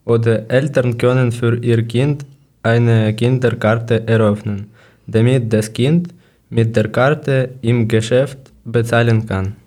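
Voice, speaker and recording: A man from Ukraine co-hosts a casual podcast in learner German. His voice is mellow and dark in tone and he states things plainly. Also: medium pitch, steady tone, slow. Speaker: podcast co-host.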